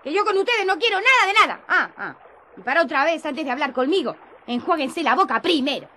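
An actress playing a sassy, sharp-tongued village prostitute in a radio drama, mocking and earthy in manner. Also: female voice, high-pitched, big pitch swings, fairly quick, a little hiss or hum, full character voice.

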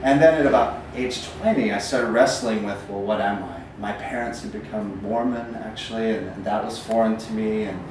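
A man's voice: fairly low pitch, normal speed, hardly any pauses. A man in his thirties from Northern Ireland with an American accent, telling travel stories in a rambling, um-filled lecture with self-deprecating humor. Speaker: poet giving a talk. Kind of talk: casual storytelling lecture. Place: small gallery room, indoors. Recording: echoey room from far off, faint noise.

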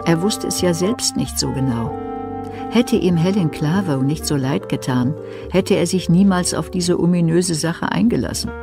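A woman's voice: fairly low pitch, lively intonation, normal speed, creaky, over music. A woman narrating a German crime audio drama in a scripted storytelling style.

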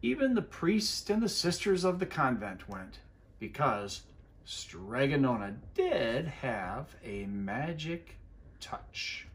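Slow, animated man reading to children. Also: medium pitch. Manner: expressive, explaining to young children. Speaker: school superintendent reading a storybook. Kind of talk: children's story time read-aloud. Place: living room armchair by a fireplace, indoors.

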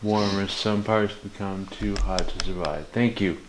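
A man exploring an abandoned school with friends, making slow, drawn-out remarks in casual chat. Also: deep voice, steady tone, roomy sound, heavy background noise.

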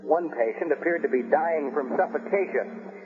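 An actor playing a shaken American newsman reporting live from an outbreak in a halting, horrified 1970s radio drama style. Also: on the high side, natural voice. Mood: grim, unsettled. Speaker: field news reporter. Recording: tinny band-limited 1970s radio recording.

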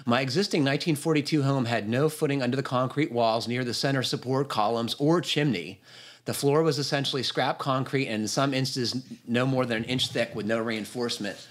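Male podcast host, plain middle pitch. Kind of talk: casual chat and reading a listener email aloud. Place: indoor room on a video call.

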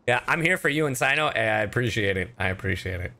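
A man hosting a livestream, chatting casually and informally with his viewers while playing a game. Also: mid-range voice. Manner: animated, informal, profane. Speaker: streamer, host.

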